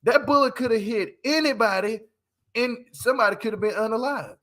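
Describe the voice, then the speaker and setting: High-pitched, bright-voiced man commenting casually. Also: fairly quick. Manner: emphatic, repetitive, slangy. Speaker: livestream host. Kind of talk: casual opinionated livestream commentary.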